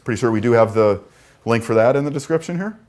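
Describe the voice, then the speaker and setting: A man's voice: mid-range voice, animated, fast, darkish tone. A man co-hosting a live stream, chatting casually about coffee machines and pushing a sale with easy laughs. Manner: easygoing, laughs often, pushes the sale. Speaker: co-host of live product stream.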